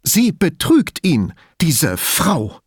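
Man voicing an agitated, high-pitched theatrical woman. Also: sing-song, moderate pace, no echo, clean studio sound. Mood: scornful, angry. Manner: theatrical, indignant, emphatic. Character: agitated, theatrical, defensive, spiteful woman under questioning. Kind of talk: audiobook character dialogue.

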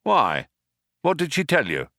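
A man's voice: on the high side, wildly swooping pitch, a little slow, pushed and bright, no room sound, voice pushed up, exaggerated voice. A man voicing a flippant, resigned male alien traveller from a storybook, with a breezy, dry, offhand manner about doom.

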